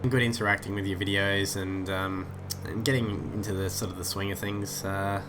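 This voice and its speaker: A lower-pitched man, nasal and stuffy from a head cold, talks his way through a flight simulator session. He rambles, fills gaps with "uh", and drifts off on side stories. There is a steady hiss of noise behind him. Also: normal speed. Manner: rambling, many "uh" fillers, easily sidetracked. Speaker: flight sim YouTuber. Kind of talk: casual gameplay commentary.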